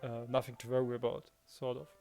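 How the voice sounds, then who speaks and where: A man's voice: mid-range voice, level tone, fairly quick, a little mellow, faint music behind. A man hosting a let's play, reading a visual novel and chatting casually in a conversational way. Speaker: let's play host, narrator and reader.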